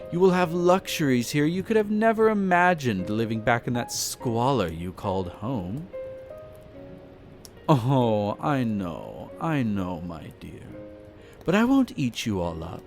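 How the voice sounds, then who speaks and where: Man acting out a possessive vampire master, slow delivery, swooping pitch, flirtatious, haughty formal diction, roleplay audio drama. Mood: mock-soothing, then hungry, teasing. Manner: formal, condescending, savoring words with theatrical pauses. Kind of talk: scripted roleplay audio drama, addressing the listener. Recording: music behind the voice. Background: background music.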